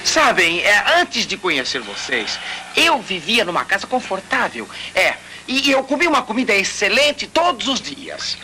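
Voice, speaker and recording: An actor playing a reluctant, grumbling adventurer in a Brazilian TV dub, plaintive and wry. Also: male voice, sits high, huge pitch swings, normal speed, pushed and bright, exaggerated voice. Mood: complaining. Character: reluctant, grumbling male adventurer missing his comfortable life. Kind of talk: dubbed TV series acting.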